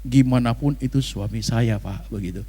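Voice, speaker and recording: A man's voice: mid-range voice, moderate pace, mellow, husky, voice pushed down, natural voice. A man voicing a plain-spoken, devoted older wife with a Batak accent, telling her story frankly and emphatically. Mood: proud, tender. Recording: reverberant hall sound.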